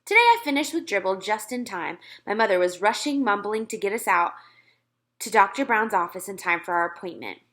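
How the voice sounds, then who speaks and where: A woman storyteller narrating a children's book expressively. Her voice is bright and crisp in feel, with a lively, wide-swinging pitch. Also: brisk. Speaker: read-aloud storyteller.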